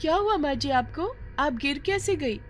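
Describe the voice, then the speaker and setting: Woman doing a high, lilting young daughter-in-law. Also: animated, moderate pace, a little hiss or hum. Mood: concerned. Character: sweet, earnest young village daughter-in-law.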